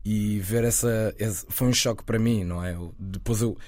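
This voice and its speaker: A man in his twenties, raised near Lisbon, chatting as an interview guest, relaxed and rambling with fillers and restarts. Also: lowish voice, normal speed, dry recording. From Lisbon area, Portugal. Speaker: guest, actor and content creator. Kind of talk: casual interview talk.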